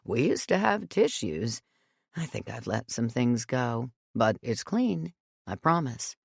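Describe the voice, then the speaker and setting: Woman voicing a gentle, kindly old man. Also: very deep voice, sing-song, slow pace, gravelly, breathy edge, no room sound, clean studio sound, exaggerated voice. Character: gentle elderly man, kindly and patient neighbor.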